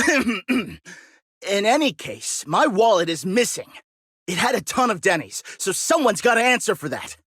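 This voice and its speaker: A man's voice: sits high, sing-song, unhurried, fairly bright tone, slightly rough, no echo, clean studio sound, exaggerated voice. An actor playing a haughty, blustering young man in a video game, speaking in a pompous, accusatory way. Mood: accusing.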